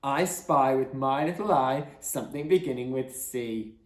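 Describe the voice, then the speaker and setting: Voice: medium pitch, a little slow, natural voice. A mild-mannered, flustered father, voiced by an audiobook reader. He sounds hesitant and put-upon, easily rattled. The sound is echoey and roomy. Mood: awkward.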